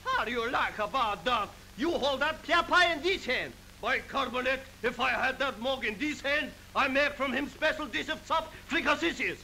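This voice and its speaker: A man's voice: very high voice, moderate pace, hardly any pauses, bright, forceful tone, a little hiss or hum, exaggerated voice, low-quality recording. A man voicing a childish, grumpy two-headed giant in a 1930s cartoon, with mock-foreign broken English. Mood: grumbling, bickering. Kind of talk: 1930s theatrical cartoon voice acting. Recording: old film soundtrack with music behind.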